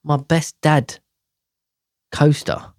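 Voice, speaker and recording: A man's voice: fairly high pitch, little pitch movement, very slow, dry recording, clean studio sound. A man ranting casually to camera, profane and exasperated, rambling with sighs and restarts.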